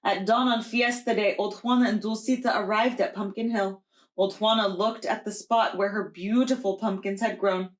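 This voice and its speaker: A woman preschool teacher reading a children's storybook aloud in a deliberate, expressive storytelling way. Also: medium pitch.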